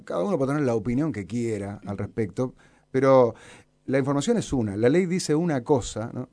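A man's voice: on the high side, warm tone, no room sound. A man with a Uruguayan accent hosting a radio talk show, explaining at length with many fillers and tag questions. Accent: Uruguayan Spanish.

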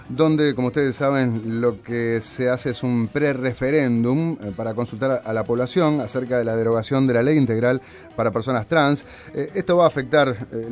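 Man, uruguayan accent, radio host, explanatory, "eh" fillers, mid-pitched voice. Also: a little mellow. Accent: Uruguayan Spanish. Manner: explanatory, many "eh" fillers and "¿no?" tags. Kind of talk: radio talk and interview.

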